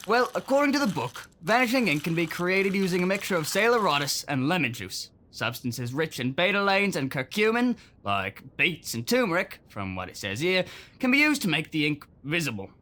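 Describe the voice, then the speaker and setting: An eager, bookish experimenter in an audio drama. He explains things chattily in a high, animated voice. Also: moderate pace, full character voice. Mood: eager, absorbed.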